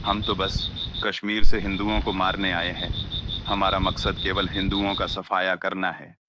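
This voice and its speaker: A man's voice: low voice, level tone, unhurried. A man playing a threatening, demanding armed militant in a cartoon story. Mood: assured, cold. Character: menacing male armed militant interrogating captives. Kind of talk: dramatised cartoon story dialogue. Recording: muffled, clearly noisy recording.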